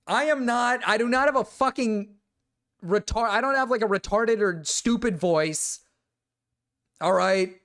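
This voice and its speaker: A young man streaming casually, excitable and swearing, swinging between loud indignant outbursts and low mumbled asides. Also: measured pace. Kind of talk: casual reaction stream chat.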